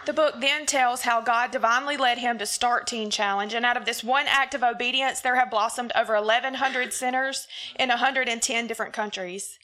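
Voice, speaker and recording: Bright-voiced Mississippi woman in her thirties. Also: on the high side, steady tone, a little fast, a little hiss or hum. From Mississippi, USA. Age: 30s. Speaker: program spokeswoman. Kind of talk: introducing a testimony program.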